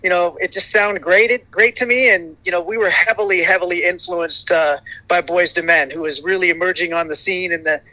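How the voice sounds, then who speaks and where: A middle-aged man with a high, light voice, giving a rambling interview full of "you know" fillers and restarts. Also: steady tone, brisk, bright, forceful tone, no room sound, rough recording. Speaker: interview guest, singer in a vocal group. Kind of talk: casual interview chat.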